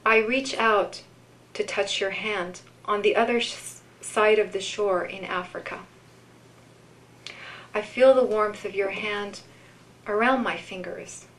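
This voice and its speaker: Female poetry reader, mid-pitched, unhurried and measured, live event delivery. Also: fairly even intonation, fairly bright tone, poor audio. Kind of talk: live poetry reading with informal commentary.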